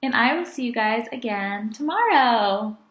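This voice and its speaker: A woman teacher reading a storybook aloud to children in an expressive, animated way. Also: on the high side, measured pace, roomy sound. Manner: animated, addresses children as friends.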